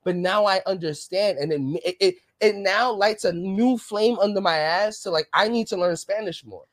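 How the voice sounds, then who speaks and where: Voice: medium pitch, normal speed, no room sound. Passionate ranting podcast co-host, dry sound. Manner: passionate, ranting, swears often. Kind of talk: casual political chat.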